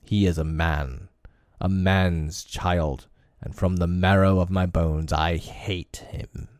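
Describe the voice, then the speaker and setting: A man's voice: deep voice, fairly even intonation, slow pace, darkish tone, dry recording, clean studio sound. A man voicing a huge, cruel male tiger who speaks with scorn and snarls his hatred, read from a storybook. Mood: hateful. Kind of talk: storybook reading.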